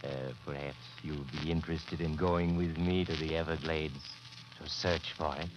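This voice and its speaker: An actor playing a sinister, mysterious horror host with macabre, mock-sympathetic irony, in 1940s radio narration style. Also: male voice, rumbling low, fairly even intonation, normal speed, highs cut off, background noise. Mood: mock sympathy. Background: music.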